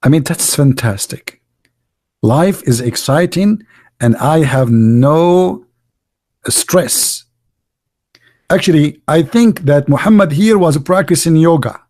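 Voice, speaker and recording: A man's voice: fairly high pitch, takes it slowly, pauses often, darkish tone. A middle-aged man hosting an online show, giving sarcastic, mocking commentary in non-native English with many restarts.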